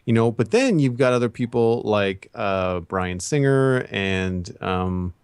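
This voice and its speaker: A man's voice: fairly low pitch, expressive, slow pace, smooth, no echo, studio quality. A man co-hosting a casual pop-culture chat, talking in a rambling, opinionated way. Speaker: podcast co-host.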